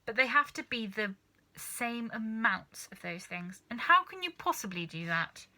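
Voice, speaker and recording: Woman as storybook farmer's wife, sceptical, scolding, nagging, very sharp bright voice. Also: fairly high pitch, lively intonation, a little slow, exaggerated voice. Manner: doubting, scolding.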